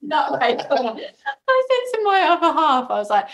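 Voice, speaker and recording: A woman's voice: high voice, very animated, a little slow, a bit bright. Woman chatting in an echoey room. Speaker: podcast guest. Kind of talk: casual chat. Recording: roomy, echoey sound.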